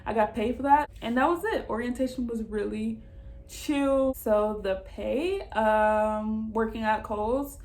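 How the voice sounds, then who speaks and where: A woman's voice: a little high, slow pace, darkish tone. A young American woman chatting casually as she gives advice, in an informal, reassuring way. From Southern United States. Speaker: vlogger giving job advice. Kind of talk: casual advice chat to camera.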